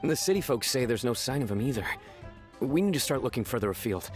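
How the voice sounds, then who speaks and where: A man's voice: mid-range voice, animated, fast talker, faint music behind, close to an everyday voice. A man voicing a weary but friendly city guard in an anime game, plain-spoken and concerned.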